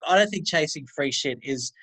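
A man's voice: a little high, animated, normal speed, a bit bright, husky. Podcast host in his thirties. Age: 30s. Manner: agreeable, backchannels a lot, swears casually. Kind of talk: casual podcast chat.

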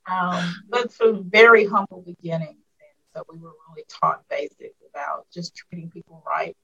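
Husky-voiced woman in her fifties. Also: fairly low pitch, fairly even intonation, normal speed, muffled recording. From Arkansas. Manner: warm, reflective storyteller with frequent fillers. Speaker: school superintendent, guest. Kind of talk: casual interview over a call.

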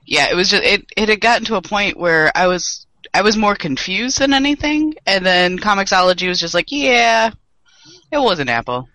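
A man's voice: high-pitched, very animated, moderate pace, sharp tone, dry recording. A young man co-hosting a casual podcast chat, joking and swearing freely, with a dry voice today. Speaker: podcast co-host.

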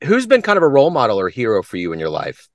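A man's voice: mid-range voice, huge pitch swings, fast, no room sound. A man hosting an interview, asking upbeat questions. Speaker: interview host.

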